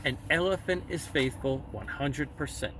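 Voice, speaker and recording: A man voicing a gentle, long-suffering male elephant from a children's storybook, earnest and emphatic. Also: fairly high pitch, moderate pace, close to an everyday voice. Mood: earnest, steadfast. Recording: distant mic with faint background noise.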